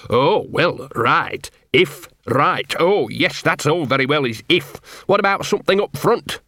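A man's voice: a little high, expressive, unhurried, pushed and bright, dry recording, clean studio sound, voice pushed up, heavily acted. A man voicing a small scruffy male street dog who grumbles, feels sorry for himself and wheedles for rewards. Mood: wheedling. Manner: grumbling, self-pitying, coaxing.

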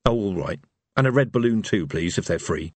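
A man's voice: low-pitched, animated, a little fast, dry recording. A man voicing a nervous, defensive, cowardly misfit in a fantasy storybook, flustered in manner. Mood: sheepish.

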